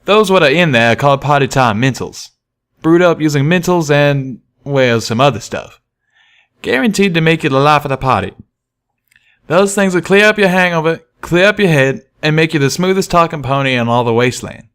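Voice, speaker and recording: A man's voice: a little high, expressive, moderate pace, a bit bright, clean tone, pitched above the performer's own voice. A man voicing a brash male pony with a folksy, emphatic way of talking for an audiobook. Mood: salesman-like. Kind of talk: audiobook character voice.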